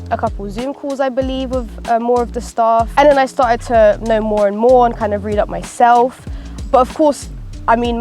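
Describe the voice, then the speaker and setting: A woman's voice: mid-range voice, a little mellow. Chatty teenage girl. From East London, England. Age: late teens. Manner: chatty, many fillers and restarts. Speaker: interviewee, school pupil. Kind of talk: casual interview.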